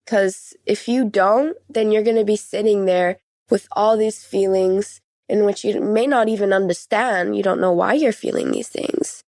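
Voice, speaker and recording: A woman's voice: medium pitch, moderate pace, a little mellow, no room sound. Teenage girl in a dry, close interview. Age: teens. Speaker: podcast guest sharing personal experience. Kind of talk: conversational interview.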